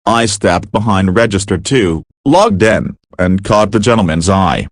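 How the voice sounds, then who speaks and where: A man delivering scripted first-person story narration in a deliberate, measured way. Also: low voice, animated, no echo, clean studio sound.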